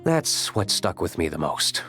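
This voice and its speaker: Casting: brooding, reluctant young fantasy game character, fairly low pitch, dry deadpan delivery. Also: male voice, unhurried, soft background music, full character voice. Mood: dry, matter-of-fact. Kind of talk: video game voice acting.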